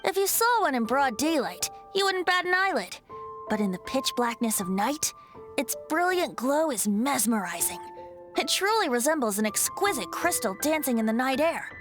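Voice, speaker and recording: Breezy young minstrel for a game; lilting, lively, high. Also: female voice, normal speed. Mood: reflective. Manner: lilting, teasing, poetic. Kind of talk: studio video game voice acting. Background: game music.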